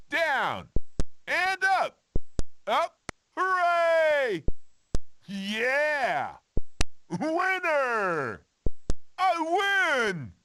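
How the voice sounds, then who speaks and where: An excitable, cocky cartoon video game character, high-pitched and swooping wildly. The short shouts are drawn out and taunting, over faint noise. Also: female voice, full character voice. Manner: exclamatory, taunting.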